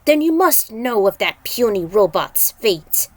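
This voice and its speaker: Woman putting on a gruff, menacing skinny demon. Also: medium pitch, sing-song, a little slow, no room sound. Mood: taunting.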